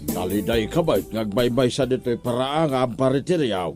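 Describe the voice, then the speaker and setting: A man's voice: medium pitch, expressive, moderate pace, over music, natural voice. A man with an Ilocano accent playing a gullible, curious village drinking buddy in a comedy audio drama, drawing out his puzzled questions.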